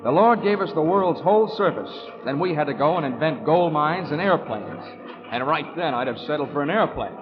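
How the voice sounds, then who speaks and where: An actor playing a wisecracking, world-weary insurance investigator in a 1940s radio drama, speaking in a deadpan way. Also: male voice, fairly high pitch, a little fast, natural voice. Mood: wry narration. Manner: wry, deadpan. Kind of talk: 1940s radio acting, first-person narration. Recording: dull old radio recording, music behind.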